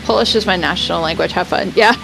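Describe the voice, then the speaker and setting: A woman's voice: fairly low pitch, fast, vocal fry, over music, rough recording. A woman streaming a video game from home, chatting casually and thinking aloud with lots of fillers. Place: home, indoors.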